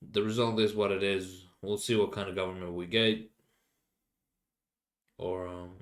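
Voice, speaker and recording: A young man with a Finnish accent hosts his own podcast in a loose, rambling way. His voice sits a little low, and his English comes out haltingly, full of fillers and restarts as he hunts for words. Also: flat delivery. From Finland. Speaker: podcast host. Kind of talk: casual solo rambling.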